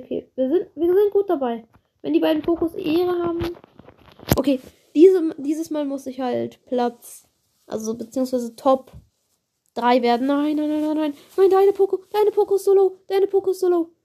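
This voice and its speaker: A woman with a slight cold, giving excitable, chatty commentary on her own gameplay, swearing and apologizing as she plays. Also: high voice. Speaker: gaming video creator.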